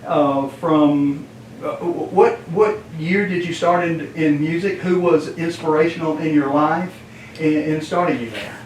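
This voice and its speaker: A man's voice: on the high side, a little slow. A man leads an interview, with a slightly raised voice and plenty of filler words. He sounds far from the mic in an echoey room, and a faint hiss sits underneath. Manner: rambling, lots of filler and restarts. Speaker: interviewer, host. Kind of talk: friendly interview.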